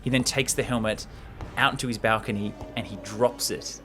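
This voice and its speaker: A man's voice: lowish voice, fairly even intonation, moderate pace, over music. A man hosting a show, retelling and commenting on a story in a casual, informal, explanatory way. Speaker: host retelling a film script.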